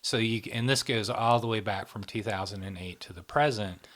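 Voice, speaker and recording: A man's voice: lowish voice, normal speed, a bit bright. A man hosting a casual interview. He prompts his guest with frequent affirmations and talks with energy when he presents his points. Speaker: interviewer and host. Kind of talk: casual interview, running through a topic list.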